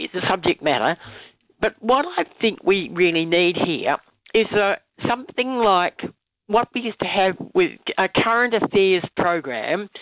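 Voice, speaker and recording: NZ woman caller, croaky with a cold, stumbling and earnest, muffled phone sound. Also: mid-range voice, no room sound. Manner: halting, many restarts and fillers, earnest. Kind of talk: talkback radio call. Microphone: phone line.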